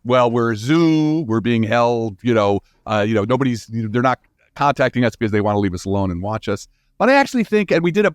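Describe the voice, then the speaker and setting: Male interview guest, scientist type. Lively, varied pitch, conversational explaining with restarts, dry studio-like sound. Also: no echo. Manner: animated, informal, halting restarts with frequent 'you know'.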